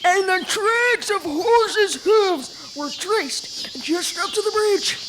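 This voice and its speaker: A child in a school radio play, acting a puzzled villager who speaks in a deliberate, hesitant way. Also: very high voice, lively intonation, a bit bright, a little hiss or hum, heavily acted, rough recording. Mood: pondering. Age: child or young teen.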